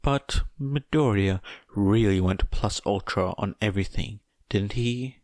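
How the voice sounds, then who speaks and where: A man reading a story aloud as scripted narration, in a measured, deliberate way. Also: lowish voice, darkish tone, no echo, clean studio sound. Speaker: audiobook narrator of fan fiction.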